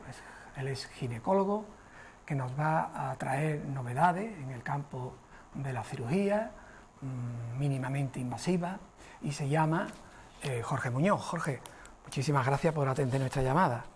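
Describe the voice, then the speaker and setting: A man's voice: on the high side, expressive, measured pace, darkish tone, a little hiss or hum. A man hosting a radio program, leading a guest interview with friendly, short questions. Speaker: radio host.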